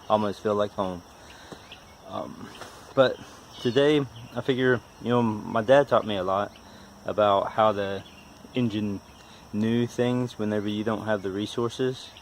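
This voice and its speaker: A man in his forties with a stutter talks casually and haltingly in a folksy, self-deprecating vlog. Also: on the low side, fairly even intonation, slight background noise. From West Virginia, United States. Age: 40s. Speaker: vlogger, amateur car mechanic. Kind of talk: casual unscripted vlog talk.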